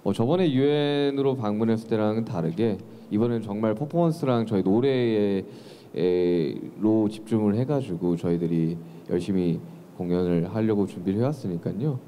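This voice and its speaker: A young man speaking into a handheld mic at a press conference, pausing with fillers as he shares thoughtful remarks. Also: on the low side, dark tone, a little hiss or hum. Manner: hesitant, thoughtful, many fillers. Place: large press room.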